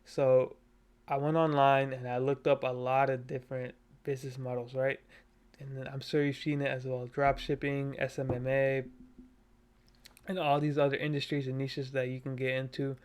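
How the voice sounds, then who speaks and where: A man's voice: medium pitch, level tone, moderate pace, clean tone. A man in his twenties, from Nepal, talking earnestly and casually on a self-improvement vlog from his bedroom on a cheap desk mic. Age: 20s. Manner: earnest, self-motivational, loose with fillers. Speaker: vlogger. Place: bedroom at his parents' house. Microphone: cheap USB desk mic.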